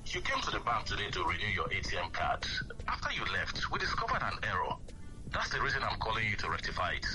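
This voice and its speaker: An actor playing a phone scammer posing as a bank official, speaking in a smooth, overly polite, persuasive way. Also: male voice, on the low side, huge pitch swings, fast talker, bright, forceful tone, faint music behind, full character voice, poor audio. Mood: wheedling. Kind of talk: radio skit acting.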